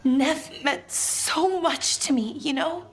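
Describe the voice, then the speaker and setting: A woman's voice: sits high, slow. An actress playing a young, tender elf woman in a fantasy game, sincere and wistful in grief. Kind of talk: dramatic video game acting.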